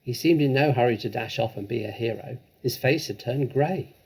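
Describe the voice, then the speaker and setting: A man reading a children's storybook aloud as an expressive, dramatic narrator. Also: medium pitch, normal speed, husky.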